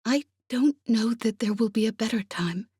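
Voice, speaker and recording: A brisk, pleasant woman comrade in an audiobook, acted out by a female narrator, speaks with quiet concern under her composure. The sound is dry and close. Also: a little high, lively intonation, slightly rough, no room sound, voice pushed up. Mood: hesitant, hopeful. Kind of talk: audiobook character dialogue.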